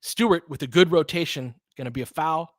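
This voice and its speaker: A man's voice: fairly high pitch, fairly quick, no room sound. Casual man calling a game, dry close sound. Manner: informal, conversational, chatting with listeners. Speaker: live audio play-by-play host. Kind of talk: casual fan play-by-play commentary and analysis.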